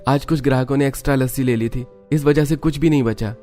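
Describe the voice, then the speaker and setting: A man's voice: mid-range voice, steady tone, fast talker, mellow, close to an everyday voice. A man playing a kindly, hardworking young father in a cartoon story. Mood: mild, earnest. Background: music.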